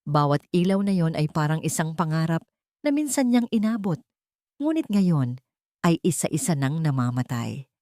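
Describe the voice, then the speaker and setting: A woman's voice: low-pitched, big pitch swings, moderate pace, warm tone, no echo, clean studio sound. A woman reading a scripted dramatic story as its narrator.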